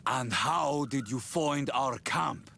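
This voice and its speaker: An actor playing a grave, distrustful rebel chief in a fantasy video game, stern and measured. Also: male voice, medium pitch, creaky, bright, forceful tone, a little hiss or hum, full character voice. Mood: suspicious.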